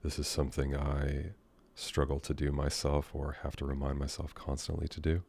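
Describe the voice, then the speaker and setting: An American man guiding a meditation in a gentle, unhurried, unscripted way, leaving long pauses between phrases. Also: a bass voice, monotone, creaky, breathy edge. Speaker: meditation guide. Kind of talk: guided meditation, soothing and unscripted.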